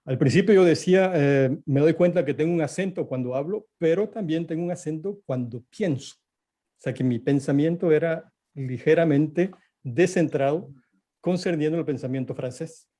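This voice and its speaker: A Guatemalan man speaking with a Guatemalan Spanish accent as a guest on an online panel, slow and thoughtful with many pauses. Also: on the high side, dark tone. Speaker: panel guest, theater artist.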